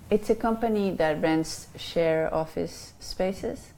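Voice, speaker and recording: A woman's voice: lowish voice, takes it slowly, dark tone. A woman in her fifties from Chile with a Chilean Spanish accent, a life coach answering interview questions with many ums and restarts.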